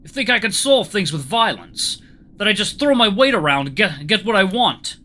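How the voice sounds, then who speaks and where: A man's voice: sits high, very animated, moderate pace, pushed and bright, pitched above the performer's own voice, heavily acted. A man voicing a giant, proud, guarded ship's electrician with a Russian accent and stern, clipped speech that drops articles. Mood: sharp, offended. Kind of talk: audiobook-like character reading.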